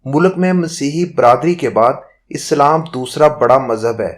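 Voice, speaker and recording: A man narrating a scripted news report in a formal, measured manner. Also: mid-range voice, lively intonation.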